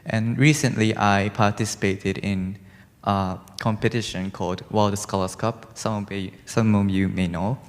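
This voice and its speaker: A man's voice: fairly low pitch, steady tone, a little slow. A teenage boy introducing himself as a student panelist, casual and restarting often. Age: teens. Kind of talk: prepared self-introduction. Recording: echoey hall.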